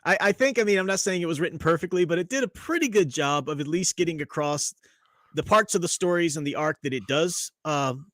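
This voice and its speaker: A man's voice: on the high side, a little fast, a bit bright, no room sound, clean studio sound. A man hosting a casual review chat, greeting live chat viewers as he talks. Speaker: podcast host.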